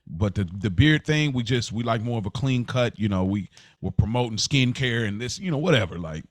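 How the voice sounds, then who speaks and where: A man's voice: medium pitch, lively intonation, brisk, dry recording. Guy on a podcast, casual talk, repeats himself making points, really dry studio sound. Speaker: podcast co-host. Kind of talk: casual chat.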